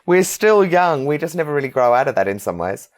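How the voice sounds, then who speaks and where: A woman's voice: low voice, sing-song, a little fast. An Australian woman in her fifties hosting a casual interview in a chatty, effusive way. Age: 50s. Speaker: podcast host, interviewer.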